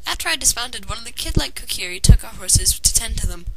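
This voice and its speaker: A woman's voice: on the high side, lively intonation, fast. A woman reading a fan fiction story aloud as narrator, in a dramatized way. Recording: noisy amateur home recording.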